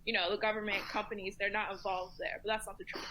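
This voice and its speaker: Woman, panel guest commentator; bright, clear tone, thoughtful and measured delivery. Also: mid-range voice, level tone, slight background noise, poor audio. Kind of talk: casual round-table discussion.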